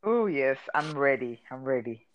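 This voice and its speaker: A woman's voice: very deep voice, lively intonation, moderate pace, dry recording, poor audio. A young woman from Zimbabwe, a guest on a casual podcast, bubbly and giggly.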